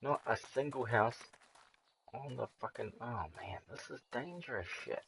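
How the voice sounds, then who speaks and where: A man's voice: medium pitch, normal speed, gravelly, fairly bright tone, a little hiss or hum. A man streaming a game live, chatting casually with viewers, swearing freely and laughing often as he rambles through jokey asides. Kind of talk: live gameplay commentary.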